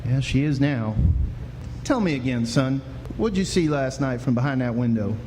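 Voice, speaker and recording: A man's voice: medium pitch, lively intonation, normal speed, darkish tone, close to an everyday voice. Man playing folksy frontier sheriff in echoing hall. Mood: skeptical, probing. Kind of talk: live Western radio drama acting. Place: live stage performance indoors. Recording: noisy live stage, reverberant hall.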